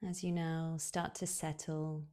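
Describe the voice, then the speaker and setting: A woman guiding a relaxation meditation in a soothing, unhurried way, leaving long pauses, with soft, breathy phrase endings. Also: low voice, flat delivery. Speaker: yoga nidra guide.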